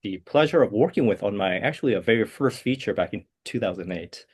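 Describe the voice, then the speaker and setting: A man, a composer answering interview questions in a soft, hesitant way with many fillers. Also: on the low side, expressive, fast talker, husky. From South Korea. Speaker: guest composer.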